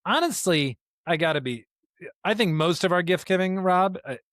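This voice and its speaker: A man's voice: high voice, lively intonation, moderate pace, fairly bright tone, no room sound, clean studio sound. A man co-hosting a podcast, reflecting on faith conversationally in an earnest, emphatic way, with rhetorical questions. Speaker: podcast co-host. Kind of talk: conversational, reflective religious discussion.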